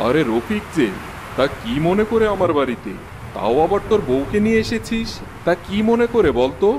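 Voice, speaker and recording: A stingy, domineering cartoon landlord. His voice is fairly high and swings widely in pitch as he bosses and scorns, over clearly noisy ambience. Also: expressive, normal speed. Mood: surprised, haughty. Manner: scornful, bossy. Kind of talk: cartoon dialogue acting.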